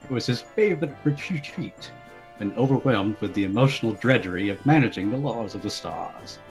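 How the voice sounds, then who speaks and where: A man's voice: lowish voice, animated, normal speed, soft background music, poor audio. A man giving a dramatic reading of a comic fantasy story as its narrator. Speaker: story reader.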